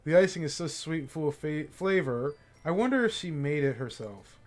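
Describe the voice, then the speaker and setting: A young man streaming himself reading a visual novel aloud and tossing in wry, joking asides. His voice sits slightly high for a man and moves in lively, animated swings. There is a faint music bed under his voice. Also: normal speed.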